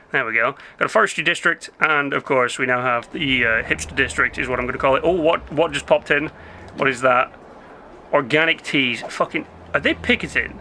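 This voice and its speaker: A young man giving rambling, casual commentary while playing a city-building game, his voice going hoarse. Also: fairly high pitch, sharp tone, a little hiss or hum. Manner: chatty, rambling, self-interrupting. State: losing his voice. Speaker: gameplay commentator.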